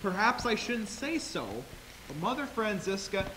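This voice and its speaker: A man's voice: high voice, expressive, measured pace. A young man acting an anxious, loyal helper of nuns in a stage play, agitated and emphatic. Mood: agitated, bitter. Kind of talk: amateur stage acting in a high school play. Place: theater stage, indoors. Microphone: distant camera. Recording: echoey stage, hissy distant camera.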